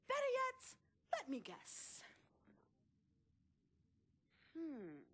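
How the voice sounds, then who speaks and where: An actress playing a sharp-tongued, protective young American sister and manager in a video game drama, sarcastic, haughty and combative. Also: female voice, very high-pitched, wildly swooping pitch, slow pace, full character voice. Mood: mock sweet.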